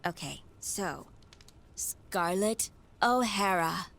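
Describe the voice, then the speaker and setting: A woman playing a caring, persistent caregiver in a naturalistic radio drama, probing and gently teasing as she persuades. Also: mid-range voice, animated, extremely slow, gravelly, exaggerated voice. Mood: dry, probing. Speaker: actor in an audio drama.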